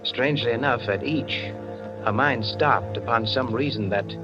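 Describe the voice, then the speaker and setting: A man narrating a scripted 1940s radio drama in a measured, literary, dramatic manner. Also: medium pitch, natural voice. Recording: muffled 1940s radio recording with music.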